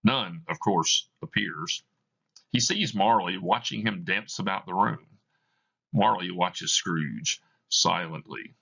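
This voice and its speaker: A man's voice: low voice, slow, vocal fry, sharp tone, lo-fi sound. A man reading a play aloud, giving scripted narration of the stage directions. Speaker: reader of a play.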